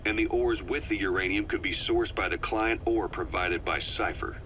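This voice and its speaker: Gruff, shrewd soldier for a game, played by a man: deep, dry, sardonic, on dull hissy cassette. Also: moderate pace, lightly acted. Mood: wry, suspicious. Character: gruff, shrewd, sardonic male military veteran. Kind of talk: dramatic video game dialogue. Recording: muffled cassette tape with hiss.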